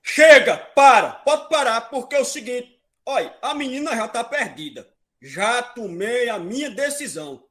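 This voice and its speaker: A man's voice: very high voice, animated, a little slow, a bit bright, heavily acted. An actor playing a stern, fatalistic father king in a comedic mock-epic audio drama, declaiming with authority and slipping into colloquial speech. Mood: commanding.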